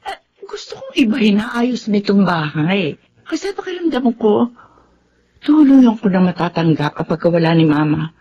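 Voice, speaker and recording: An actress playing a practical, affectionate homeowner in a Tagalog radio drama, with a Filipino accent and a conversational, gently bossy, teasing manner. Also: female voice, medium pitch, big pitch swings, moderate pace, husky, highs cut off. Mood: wistful. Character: Remedios, affectionate, practical homeowner woman in a romance.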